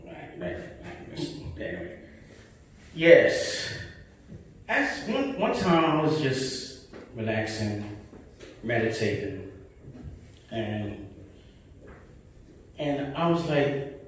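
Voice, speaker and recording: Slow, rambling New comic in an echoey club. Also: male voice, mid-range voice, big pitch swings, a little hiss or hum. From Brooklyn, New York. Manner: slow, rambling delivery with many asides and repeated "right?". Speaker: stand-up comedian. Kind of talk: open-mic stand-up set. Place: small club stage with tiled wall, indoors. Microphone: handheld mic, filmed by a far camera. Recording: echoey room from far off.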